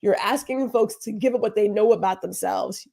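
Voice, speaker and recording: A woman's voice: mid-range voice, normal speed, gravelly, warm tone. A woman co-hosting a podcast, talking in a casual, emphatic way with lots of rhetorical questions. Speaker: podcast co-host. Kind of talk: casual conversation.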